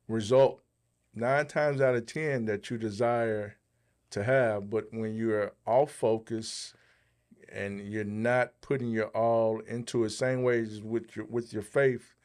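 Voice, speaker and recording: A man's voice: fairly low pitch, a little mellow. Man hosting a motivational podcast segment. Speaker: podcast host, motivational speaker.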